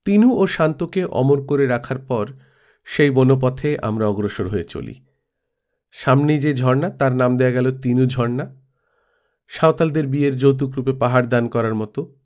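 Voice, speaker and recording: A man narrates in the manner of an audiobook storyteller. His voice sits at an average pitch for a man, and he reads composed literary prose from a script. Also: lively intonation, normal speed, a little mellow. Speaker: storyteller reading a classic audiobook.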